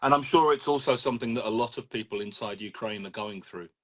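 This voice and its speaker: A man hosting an interview on a video call, asking gentle, hedging questions full of fillers. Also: lowish voice, fast. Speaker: host, English teacher. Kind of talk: interview host.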